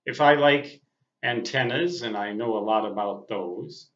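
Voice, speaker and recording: A man in his sixties with a mid-pitched voice talks slowly and deliberately, in a casual, reflective monologue. He halts between phrases and leans on some for emphasis. The sound is slightly dull, with noticeable room echo. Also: fairly bright tone. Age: 60s. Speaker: veteran amateur radio operator. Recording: muffled audio in a roomy space.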